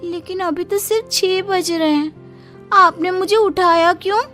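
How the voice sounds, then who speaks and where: Give this a very high, sharp voice for a woman acting as a young cartoon bride. She is whiny and sarcastic, forever exasperated and complaining about her lot. Music plays behind her. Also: steady tone, a little slow. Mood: shocked, complaining. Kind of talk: Hindi cartoon story dialogue.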